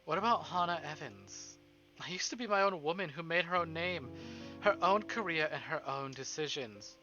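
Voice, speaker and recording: Man reading as a wistful society wife, bright-toned. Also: on the high side, animated, moderate pace, lo-fi sound. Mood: wistful, reflective. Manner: formal, introspective, bitter. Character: wistful, weary high-society wife narrating her own thoughts. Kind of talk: visual novel inner monologue read aloud. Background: game music.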